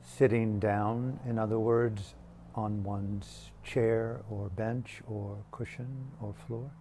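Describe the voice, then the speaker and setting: Very slow, low-voiced man guiding meditation. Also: steady tone, noisy background. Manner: hushed, deliberate, long pauses between short phrases. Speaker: meditation teacher leading a guided meditation.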